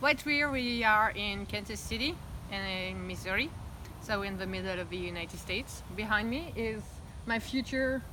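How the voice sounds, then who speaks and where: Lady in her 30s, mid-pitched, chatting reflective on a phone outside, cars going by. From Belgium. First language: French. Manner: reflective, open and talkative. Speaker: interviewee. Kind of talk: candid personal interview. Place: outdoors in front of her house. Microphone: phone camera. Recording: outdoor phone recording, traffic noise.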